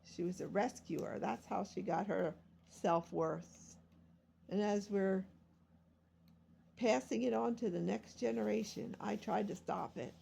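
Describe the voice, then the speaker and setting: A woman's voice: medium pitch, a little slow, vocal fry, dark tone, slight background noise. A woman in her sixties talking about her life in a rambling, confessional vlog, meandering and self-deprecating. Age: 60s. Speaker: vlogger and self-published author.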